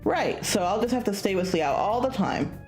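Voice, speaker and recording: Woman playing a breezy, protective young senior guy, animated. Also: mid-range voice, moderate pace, reverberant, faint music behind, close to an everyday voice, rough recording. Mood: devoted, teasing.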